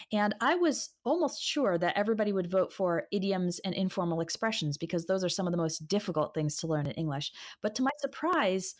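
A woman who works as an online English teacher, talking conversationally in an interview. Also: medium pitch.

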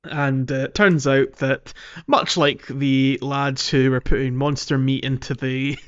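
A slightly lo-fi recording of a man with a mid-range voice. He co-hosts a casual gaming podcast and tells things in a rambling fashion, often pausing and restarting as he goes. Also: mid-range voice, steady tone, fairly bright tone. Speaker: podcast co-host.

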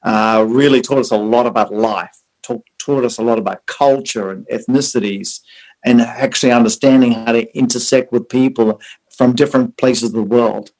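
This is a middle-aged man with an Australian accent, answering a podcast host's questions. His pitch sits in the middle. He tells his stories at an easy pace, stumbling over repeated words as he goes. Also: fairly even intonation, normal speed, poor audio. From born in South Africa, raised in Australia. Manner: repeats and restarts words, warm storytelling. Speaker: Salvation Army officer, podcast guest. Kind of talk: conversational interview answers.

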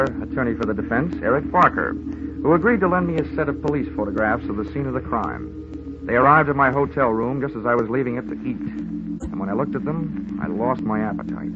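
An actor playing a world-weary, hard-boiled insurance investigator in a 1950s radio drama, with wry, sardonic, slangy delivery. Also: male voice, mid-range voice, lightly acted. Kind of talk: 1950s radio drama acting with first-person narration. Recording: muffled, noisy vintage radio recording.

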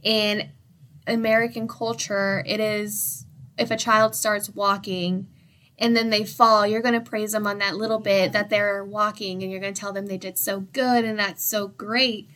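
A woman's voice: mid-range voice, little pitch movement, a little slow, a bit bright. A young woman co-presenting in a loose, conversational way, often using "like" as filler. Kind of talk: casual discussion.

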